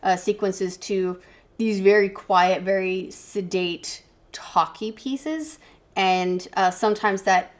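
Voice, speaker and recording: A woman's voice: medium pitch, slow pace. A woman giving her take as a panelist in a casual film review chat, blunt and casually profane.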